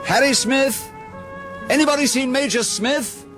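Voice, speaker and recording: A man's voice: very high-pitched, unhurried, over music. A man acting a kindly, hearty British army major in a radio drama. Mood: surprised, reassuring.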